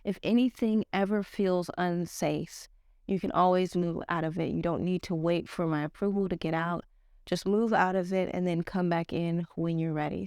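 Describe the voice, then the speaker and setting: A woman with a stuffed-up, slightly nasal voice, leading a slow guided yoga class in a casual, slangy way. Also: on the low side, smooth, dry recording, clean studio sound. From Nigerian-American. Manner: casual slangy teacher, slow calm cues. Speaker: yoga teacher.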